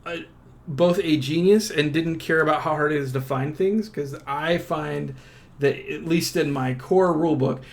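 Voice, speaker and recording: A man with a creaky, gravelly voice giving a casual product walkthrough in a halting, self-interrupting way. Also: on the high side. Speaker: unboxing presenter.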